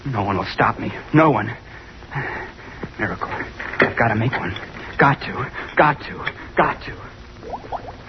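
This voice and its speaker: Noisy old radio horror, obsessive young college man, unhinged, intense, highly animated, repetitive. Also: takes it slowly, long pauses, muffled recording. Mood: obsessed. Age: young adult. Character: obsessive, unhinged young male college student bent on miracles.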